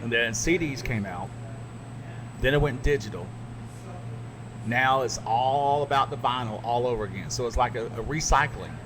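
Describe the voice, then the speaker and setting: A middle-aged man, a radio DJ, chatting casually and telling stories with animated asides. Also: medium pitch, moderate pace, long pauses. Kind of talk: casual podcast chat. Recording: noisy background.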